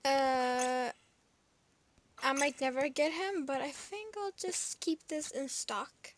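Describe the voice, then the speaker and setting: A woman's voice: high-pitched, slow, very noisy. A woman giving casual gameplay commentary, talking to herself in exclamations, with game music behind her. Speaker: gaming video host. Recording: loud game music over voice.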